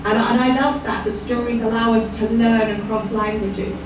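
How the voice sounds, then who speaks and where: A woman's voice: on the high side, fairly even intonation, moderate pace. A woman talking enthusiastically about learning across languages. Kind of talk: interview answer. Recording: distant, roomy, muffled, noisy.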